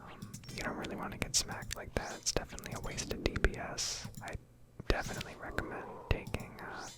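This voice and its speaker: Woman, soft whisper, ASMR gaming commentary, casual and rambling, hesitant phrasing, close mic. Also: fast. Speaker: gaming video host.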